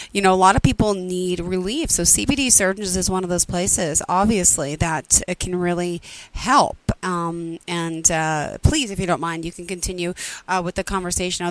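A woman's voice: mid-range voice, a bit bright, rough recording. A woman in her forties hosting an interview, chatty as she prompts her guests. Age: 40s. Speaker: interview host. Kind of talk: casual interview.

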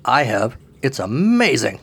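A man voicing an oily, grandiose fire salesman creature in a comic audio story, pitching with theatrical flourish. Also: big pitch swings, measured pace, husky, exaggerated voice. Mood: theatrical salesy.

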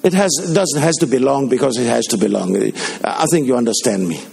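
Fervent preacher, gravelly and lively. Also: male voice, medium pitch, brisk, soft background music. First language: Danish. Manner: fervent, storytelling, with rising exhortations. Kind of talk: sermon. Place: church service.

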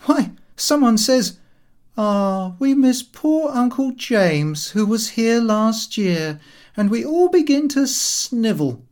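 Man acting a loud, high-pitched, jeering young man. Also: big pitch swings, slow, full character voice. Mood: scornful ranting. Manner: mocking, declamatory.

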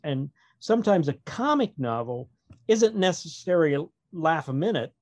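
A man's voice: on the high side, very animated, moderate pace, darkish tone, no room sound. A man speaking as a guest author in a casual interview, rambling along as a wry storyteller. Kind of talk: casual interview chat about own writing.

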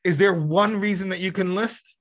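A man's voice: high voice, moderate pace, a bit bright. A man hosting a casual podcast interview, asking probing questions with a teasing, joking manner. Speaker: podcast host and program mentor. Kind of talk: casual interview with students and guest.

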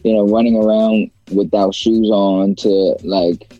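A man's voice: on the low side, fairly even intonation, a little slow, dark tone, dry recording, highs cut off, faint music behind. A young man from China, a rapper as interview guest, talking casually in a rambling way with many fillers and restarts.

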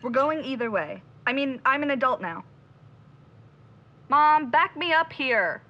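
A woman's voice: high-pitched, lively intonation, unhurried. A woman playing a headstrong, exasperated young amateur sleuth in an audio drama, with slight noise on a muffled recording. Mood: defiant. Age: young adult. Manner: defiant, exasperated.

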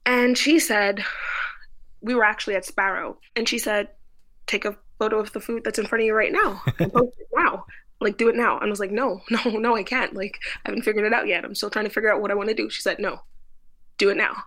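A woman's voice: mid-range voice, fairly even intonation, fast, fairly bright tone. A young woman from the Bahamas, a guest food photographer, telling her story in a chatty interview. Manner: chatty, lots of "like" fillers.

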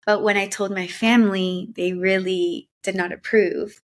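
A woman's voice: mid-range voice, fairly even intonation, a little slow. A woman from Colombia, a guest on a podcast, telling her life story in a casual, reflective way with frequent filler words. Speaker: podcast guest, women's mentor.

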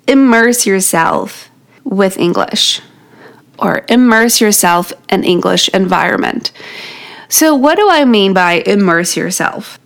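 A woman's voice: medium pitch, vocal fry. A woman in her thirties with a New York accent, a native Polish speaker, hosting a solo podcast and encouraging listeners directly while a little out of breath. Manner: encouraging, talks straight to the listener. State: out of breath from pregnancy. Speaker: podcast host. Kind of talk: solo podcast teaching tips.

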